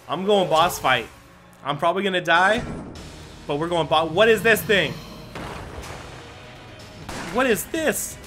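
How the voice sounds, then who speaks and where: Excitable high-voiced man playing a game. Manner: excitable, thinks aloud, many restarts and exclamations. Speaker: gaming commentator. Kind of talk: let's play commentary. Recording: game music under the voice. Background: game music.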